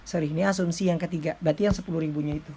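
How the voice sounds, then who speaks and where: A woman's voice: sits low, steady tone, very fast, dark tone, slightly rough. A woman giving an informal lecture as a teacher, explaining step by step with frequent check-in tags. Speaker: accounting lecturer.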